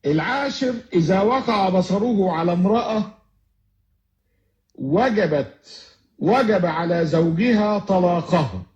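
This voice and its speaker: A man's voice: sits high, expressive, a little fast, pauses often, darkish tone, roomy sound, muffled recording. A man, preaching in a video clip that is played back. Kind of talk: religious explanation.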